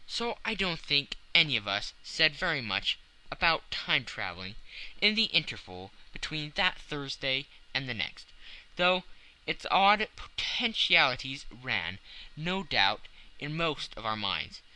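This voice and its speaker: A man reading a classic novel as a dramatic audiobook narration, with measured literary phrasing and deliberate pauses. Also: fairly high pitch, sing-song, bright, forceful tone, clean tone, rough recording.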